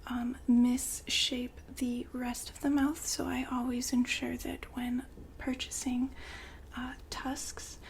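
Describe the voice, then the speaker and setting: Whispering woman in slow, even ASMR roleplay. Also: fairly high pitch, a little hiss or hum. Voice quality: whispery, breathy soft voice. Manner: gentle, polite sales patter. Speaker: ASMR roleplay performer as saleswoman.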